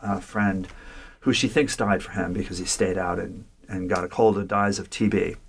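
Guy breaking down a short story, kinda low voice, thinks out loud with lots of restarts. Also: steady tone, moderate pace, creaky, husky. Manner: thoughtful, with frequent restarts and rhetorical "right?". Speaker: literature scholar discussing a story. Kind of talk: conversational lecture-style discussion.

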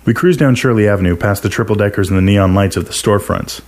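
Low-voiced man narrating fiction. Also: quick pace, a little mellow. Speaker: narrator, story reader. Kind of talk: scripted first-person fiction narration.

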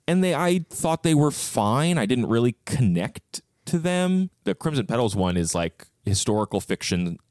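A man chatting casually as a podcast guest, reflective and often hedging as he thinks out loud. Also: medium pitch, very animated, normal speed, few pauses, no room sound, studio quality. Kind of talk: casual book discussion.

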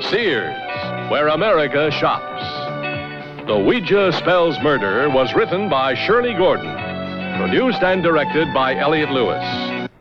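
Man, radio announcer, formal, lively higher voice, loud music, dull sound. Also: measured pace. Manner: polished, formal. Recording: dull old broadcast under loud music.

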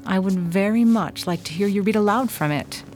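An actress playing a strange, quiet housewife in a radio drama, speaking softly and detached. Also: female voice, mid-range voice, moderate pace, soft background music, natural voice. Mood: eerily calm. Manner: soft, eerie and detached.

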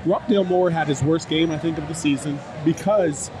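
A man's voice: on the high side, a little fast. A man co-hosting a sports podcast who is losing his voice, chatting loosely and jokingly with slang. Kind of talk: casual sports chat. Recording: noisy stadium setting.

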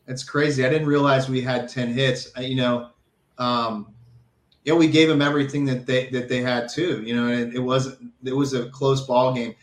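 A man, a former ballplayer, chatting casually as a guest in an excitable, amazed, halting way. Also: mid-range voice. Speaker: former college baseball player, guest. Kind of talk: casual chat on a call. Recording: roomy echoing call audio.

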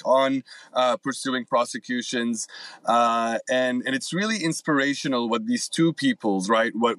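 American man speaking earnestly and haltingly. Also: medium pitch, a bit bright, no room sound, low-quality recording. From United States, moved from Syria very young. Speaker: guest, nonprofit advocate. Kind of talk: earnest interview talk about advocacy work.

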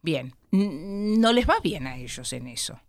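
Argentine woman historian, low voice, thoughtful, pauses often; dry studio sound. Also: very animated, no room sound. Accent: Argentine Spanish. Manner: reflective, explains at length with many pauses. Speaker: historian guest. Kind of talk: studio interview.

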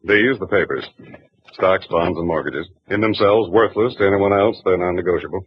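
A man's voice: sits low, moderate pace, slight background noise, natural voice. An actor playing an overbearing, pushy male broker of about forty who talks in a clipped way. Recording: muffled 1940s radio recording.

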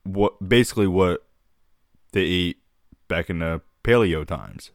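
Deep-voiced chill podcast guy, dry close sound. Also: unhurried, dry recording. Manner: laid-back, calls his co-host dude. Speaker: podcast co-host. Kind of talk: casual podcast chat.

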